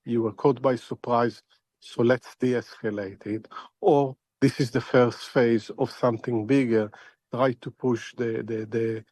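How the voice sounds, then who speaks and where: Halting, deliberate male expert analyst, dry sound. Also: mid-range voice, steady tone, darkish tone, no room sound. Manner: halting, deliberate, repeating words as he thinks. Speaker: expert analyst, interview guest. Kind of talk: spoken analysis in a news interview.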